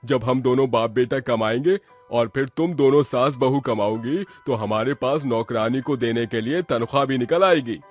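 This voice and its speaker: A man's voice: mid-range voice, moderate pace, hardly any pauses, soft background music, close to an everyday voice. A man voicing an exasperated, scheming middle-aged husband in a cartoon family comedy, with emphatic, complaining delivery. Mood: eager, persuasive.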